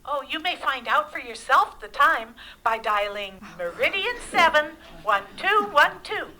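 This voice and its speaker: A woman playing a polite but unhelpful switchboard operator in a live 1940s-style radio play, formal, measured and officious. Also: high-pitched, animated, bright, forceful tone, heavily acted, low-quality recording. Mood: politely efficient. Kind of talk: 1940s radio drama acting, performed live on stage.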